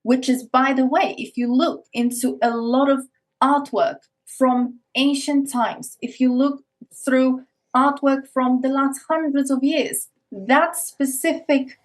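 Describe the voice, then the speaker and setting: A woman from Eastern Europe with a noticeable Eastern European accent, talking as a podcast guest. Her voice sits somewhat high for a woman. She speaks earnestly and keeps restarting her sentences and repeating words. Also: steady tone, slow. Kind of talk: casual interview talk. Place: Arctic north of Norway.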